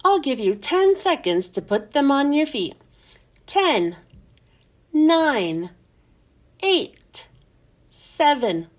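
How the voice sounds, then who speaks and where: A woman's voice: high voice, big pitch swings, extremely slow, pauses often, pitched above the performer's own voice, exaggerated voice. A woman voicing a stern, falsely nice villainous female schoolteacher, commanding and coldly polite. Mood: cold, furious.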